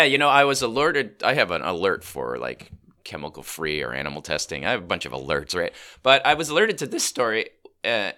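A man's voice: fairly low pitch, pushed and bright. A middle-aged man co-hosting a podcast while he has a cold, chatting casually with fillers and restarts. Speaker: co-host. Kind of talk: casual chat.

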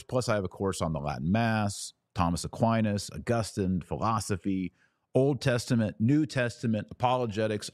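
A man's voice: fairly low pitch, darkish tone, no echo, studio quality. A man hosting a podcast, teaching in a casual, conversational and earnest explanatory way.

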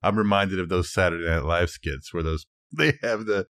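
A man co-hosting a podcast in casual conversation, agreeable and quick to interject. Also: deep voice, a bit bright, dry recording, studio quality. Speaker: podcast co-host. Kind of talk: casual chat.

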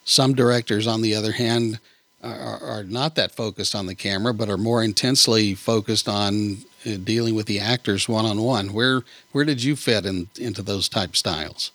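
A man's voice: on the low side, fairly even intonation, moderate pace, a bit bright, no room sound. A man hosting a podcast, interviewing in a conversational style.

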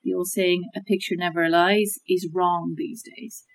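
A woman talking through how to check information, in a measured, thoughtful way with many fillers and restarts. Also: lowish voice, level tone, a little hiss or hum. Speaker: guest expert on media literacy. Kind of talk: conversational explanation.

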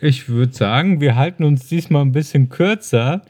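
A man's voice: on the high side, normal speed, a little mellow, dry recording. A man co-hosting a podcast in casual conversation, laid-back and wry, close to the microphone. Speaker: podcast co-host. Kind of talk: casual chat.

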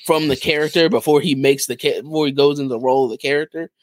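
A man co-hosting a podcast in casual conversation, retelling things people said in an animated way. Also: a little high, fast talker, no room sound. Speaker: podcast co-host.

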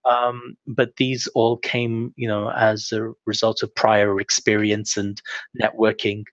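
A young adult man speaking as an interview guest, relaxed and self-deprecating with lots of fillers. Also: on the low side, slow, no room sound. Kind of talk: casual interview talk. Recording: dull, dry lo-fi sound.

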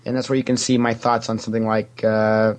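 A man's voice: on the low side, fairly quick. A man chatting casually as a podcast guest, opinionated and given to sarcastic jokes. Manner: opinionated, animated when quoting, sarcastic joking. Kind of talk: casual, joking fan chat.

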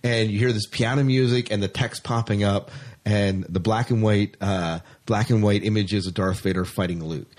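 A man's voice: fairly low pitch, fairly even intonation, moderate pace, a little mellow. A man co-hosting a podcast, chatting casually and enthusiastically about science fiction news. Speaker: podcast co-host. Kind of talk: casual sci-fi news chat.

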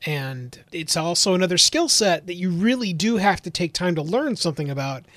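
A man with a fairly high voice gives conversational advice as a co-host. He is emphatic and instructive as he makes his points. The recording is dry, free of room sound. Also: expressive, moderate pace, fairly bright tone, no room sound.

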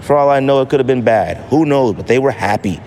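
A man's voice: medium pitch, expressive, quick pace, a little mellow, slight background noise. An American man hosting a casual solo podcast, talking in a slangy, emphatic way and repeating phrases for effect. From United States. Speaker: podcast host.

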